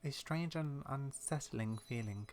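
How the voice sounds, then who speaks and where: An actor voicing a fantasy quest character who tells of secrets and magic in a measured, dramatic way. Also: medium pitch, a little mellow, natural voice. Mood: uneasy, mysterious. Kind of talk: scripted video game dialogue. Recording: music behind the voice.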